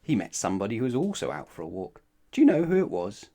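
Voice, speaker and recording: Very animated male children's storyteller. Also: medium pitch, quick pace, gravelly. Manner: expressive, addresses listeners with questions. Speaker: storybook narrator. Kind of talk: children's read-aloud narration.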